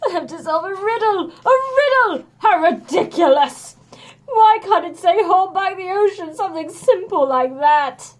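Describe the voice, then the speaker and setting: Casting: woman playing a boastful, dim-witted mighty hero for a children's story, bombastic and wailing, high voice with big swoops. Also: animated, measured pace. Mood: exasperated. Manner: bombastic, dramatic, prone to wailing.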